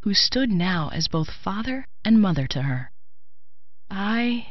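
A woman narrating a work of fiction in a measured, expressive way. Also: fairly low pitch, dry recording. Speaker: audiobook narrator. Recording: dull, lo-fi sound.

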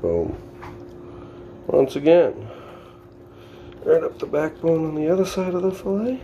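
A man's voice: fairly high pitch, big pitch swings, unhurried, dark tone, slight background noise. A middle-aged man with a Boston accent giving a casual step-by-step how-to in his home kitchen, plainspoken and instructive as he works with his hands. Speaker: home cook demonstrating fish filleting. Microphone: camera on the counter.

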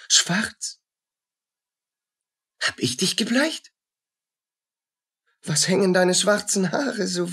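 An actor playing a tormented, feverish soldier unraveling in guilt in a radio play, husky and agitated, in fragments. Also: male voice, sits high, fairly bright tone, heavily acted. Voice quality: husky, rough. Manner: agitated, fragmented, muttering and crying out.

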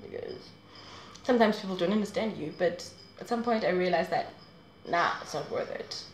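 A woman's voice: lowish voice, normal speed, slight background noise. Chatty young woman, roomy echo. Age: 20s. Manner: chatty, rambling, laughs often. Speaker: vlogger. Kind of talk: casual advice vlog to camera. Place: small room indoors.

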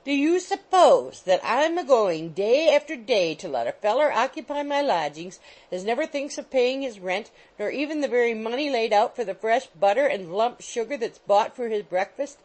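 A woman voicing an indignant, quarrelsome middle-aged landlady, in a loud scolding tirade. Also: mid-range voice, very animated, normal speed, few pauses, rough recording. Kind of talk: audiobook character voice.

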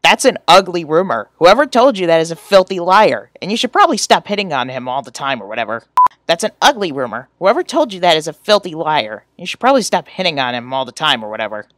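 A woman's voice: deep voice, sing-song, fast, no echo, studio quality. A woman voicing a goofy, earnest teenage boy from a webcomic, playful and exclamatory, in an amateur character reading. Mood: excited, teasing.

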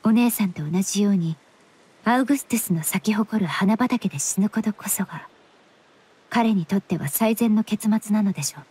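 Mellow, composed young woman investigator. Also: mid-range voice, breathy edge. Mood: solemn, reflective. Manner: polite, measured, reflective. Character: calm, analytical young woman perfumer and investigator, polite and thoughtful. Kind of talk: Japanese game voice acting.

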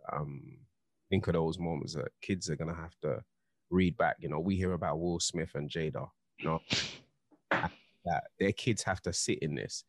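A man's voice: deep voice, no echo. A man, co-hosting a podcast in casual chat, talking earnestly with lots of "you know". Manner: earnest, heated, leans on filler "you know". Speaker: podcast co-host. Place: home studio, indoors. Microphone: studio mic, headphones.

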